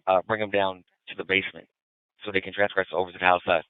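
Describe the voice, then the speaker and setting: Male police dispatcher, brisk and procedural, clean-toned voice through a narrow, muffled two-way radio. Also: sits low, flat delivery, smooth. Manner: procedural, clipped call-and-response. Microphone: radio transmitter. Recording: muffled, band-limited police radio transmission.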